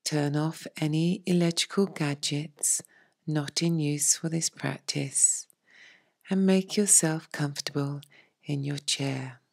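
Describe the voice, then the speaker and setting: A woman leading a guided meditation as a teacher, in a soft, breathy, hushed and soothing voice with long pauses. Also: low-pitched, steady tone. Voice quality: soft, breathy, near-whispered. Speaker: yoga nidra meditation teacher.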